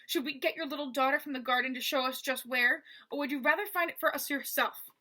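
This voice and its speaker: A woman voicing a menacing male henchman with a rasping, taunting voice. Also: sits high, fairly even intonation, quick pace, pushed and bright. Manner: sneering, taunting.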